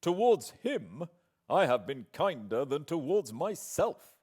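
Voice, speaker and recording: A man voicing a proud, stiff English gentleman in an audiobook, speaking formally with forced calm over resentment. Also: fairly high pitch, takes it slowly, husky, voice pushed up, full character voice. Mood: cold, haughty. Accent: British. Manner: haughty, clipped formal phrasing.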